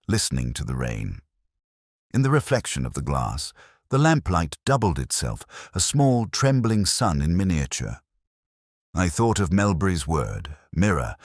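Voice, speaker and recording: A man with an extremely deep voice narrates a literary detective story in the first person. His delivery is measured and reflective, yet the pitch moves expressively. The sound is dry and close, with no room around it. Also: breathy edge, dry recording.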